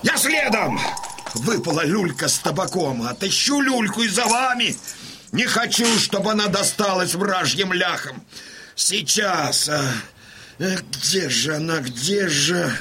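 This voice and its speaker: A man's voice: sits high, big pitch swings, unhurried, bright, forceful tone, slight background noise, heavily acted. An actor playing a fierce, aging Cossack colonel who commands his men in fervent declamation, in an audio drama. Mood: urgent, stubborn. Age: older.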